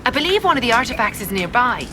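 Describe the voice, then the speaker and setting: An actress playing a wary, self-possessed elven woman in a video game, speaking in measured, formal phrases. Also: a little high, big pitch swings, background noise. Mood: grave. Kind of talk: video game character dialogue.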